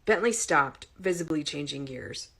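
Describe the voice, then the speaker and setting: Expressive woman narrating fiction, slightly low voice. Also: normal speed, breathy edge. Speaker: audiobook narrator.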